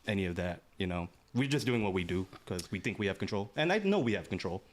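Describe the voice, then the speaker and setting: A young man co-hosting a podcast, chiming in with quick agreements and jokes in casual chat. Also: deep voice, very animated. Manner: chiming in with quick affirmations, joking. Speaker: podcast co-host.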